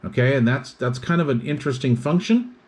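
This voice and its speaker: Male product reviewer, mid-pitched, methodical explainer walking through features in short, itemized phrases. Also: brisk, darkish tone. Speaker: product reviewer demonstrating radio gear.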